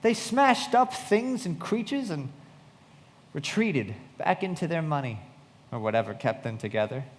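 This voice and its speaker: A man plays an earnest, disillusioned young narrator in a radio drama. His fairly high voice moves animatedly up and down and rings out in a reverberant hall. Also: expressive, a little slow. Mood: bitter.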